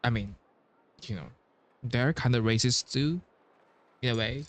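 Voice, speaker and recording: A man's voice: medium pitch, a little slow, no room sound. A man giving casual playthrough commentary on a video game, musing hesitantly and repeating himself. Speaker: game streamer.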